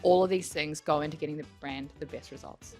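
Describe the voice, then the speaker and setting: A woman explains her company's work in an interview. She talks quickly in a slightly low voice, over background music. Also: fairly even intonation, darkish tone. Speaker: company leader being interviewed.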